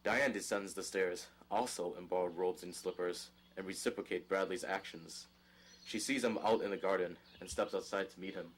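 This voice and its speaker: A man's voice: deep voice, fairly even intonation, moderate pace. A man narrating an audio drama, reading scripted stage directions.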